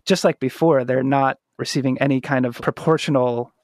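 A man's voice: medium pitch, steady tone, moderate pace, no echo, clean studio sound. A man hosting a podcast in a reflective, casual conversational style. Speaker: podcast host.

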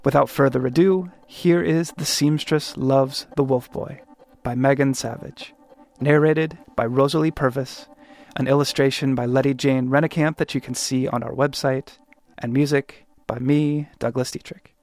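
A man's voice: medium pitch, fairly even intonation, normal speed, vocal fry, darkish tone. A man hosting a podcast, reading a scripted introduction. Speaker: podcast host.

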